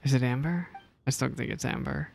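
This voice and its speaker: Casual female game reactor, low-pitched, faint noise on the mic. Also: brisk, creaky, darkish tone.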